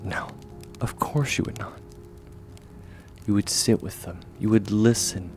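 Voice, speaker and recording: A man playing a gentle, steadfast young swordsman mentor in a comfort ASMR roleplay, speaking softly and reassuringly, at times close to a whisper. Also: on the low side, fairly even intonation, gravelly, faint music behind. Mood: gentle, encouraging. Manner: soft, reassuring, measured, often near whisper.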